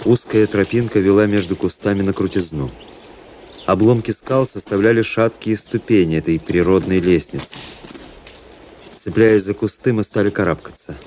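Actor as low-voiced, composed officer recounting a duel. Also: male voice, a little mellow, a little hiss or hum, natural voice. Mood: brooding, composed. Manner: measured, literary, composed. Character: cool, proud, fatalistic young officer recounting his duel. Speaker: narrator and lead actor. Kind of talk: radio drama narration.

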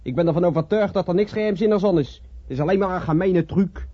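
A dry, muffled old radio recording holds an indignant communist agitator. His husky voice is scornful and dismissive. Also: high-pitched, fast talker, dry recording. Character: scornful communist agitator, man, convinced of capitalist trickery. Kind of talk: radio drama dialogue.

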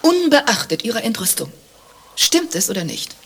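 An actress playing an imperious, accusing wife in a satirical radio play, scornful and commanding, with a husky voice and theatrical delivery. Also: female voice, fairly high pitch, big pitch swings, moderate pace, exaggerated voice. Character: imperious, accusing wife who suspects her husband.

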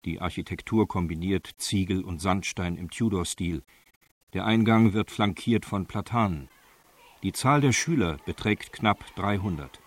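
A man's voice: sits low, unhurried. A man narrating a radio crime drama as a factual case report. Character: matter-of-fact male narrator of documentary case report.

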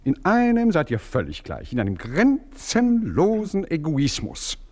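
A man's voice: on the high side, huge pitch swings, unhurried, mellow, exaggerated voice. A man playing an impatient, jealous husband who swings between scorn and pleading in a radio play.

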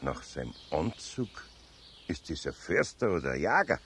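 An actor playing a good-natured older Bavarian craftsman, gruff but fatherly, in a radio play. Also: deep voice, big pitch swings, moderate pace, a bit bright. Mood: coaxing. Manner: fatherly, coaxing, easily riled when wronged. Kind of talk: 1970s German radio play acting. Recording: faint background noise, old radio production.

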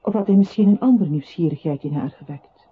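Grave husky male narrator, old radio play, muffled phone-like sound. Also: sits high, fairly quick. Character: grave male narrator recounting a man's lonely downfall.

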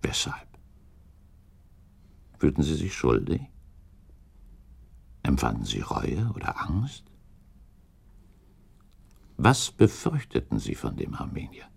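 A shrewd gentleman inquisitor in a period radio drama. His voice is low and gravelly, swinging expressively as he builds his case in formal, deliberate phrases. He stays composed and relentless. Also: wildly swooping pitch, in a whisper, full character voice. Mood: grave, musing. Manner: formal, deliberate, insistent questioning. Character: probing gentleman accuser, shrewd, composed, relentless cross-examiner. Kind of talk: German radio play acting, formal period dialogue.

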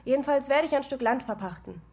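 A woman playing a cheeky, quietly persistent organic farmer with a dry, teasing way of talking in a radio drama. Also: high-pitched, expressive, fast talker, a little hiss or hum. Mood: casual, wry. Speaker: radio play actor. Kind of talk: naturalistic German radio drama dialogue.

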